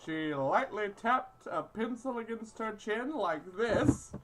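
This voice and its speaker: A woman's voice: a little high, expressive, takes it slowly. A woman casually reading a visual novel aloud as she plays, theatrical and giggly, with crude improvised jokes. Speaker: host of a visual novel playthrough. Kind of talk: casual playthrough narration, improvised crude joking.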